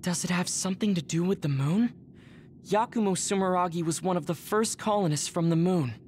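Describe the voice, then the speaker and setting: A woman voicing a serious, questioning young comrade in an anime-style video game, with a sharp, skeptical manner. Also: lowish voice, brisk, slight background noise, lightly acted. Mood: probing.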